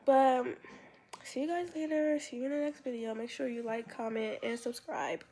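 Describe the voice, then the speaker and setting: A woman's voice: sits high, steady tone, normal speed. A young woman vlogging casually with a congested voice, rambling and trailing off as she talks to her viewers. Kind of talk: casual vlog talk. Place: outdoors by a lake, then at home. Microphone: phone camera. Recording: loud outdoor noise.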